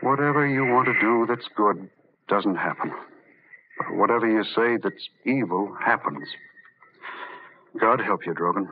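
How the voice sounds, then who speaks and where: A man's voice: on the low side, measured pace, pauses often, very noisy, close to an everyday voice. An actor playing an earnest, insistent male doctor in an old-time radio drama. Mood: grave. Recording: tinny, narrow vintage radio recording.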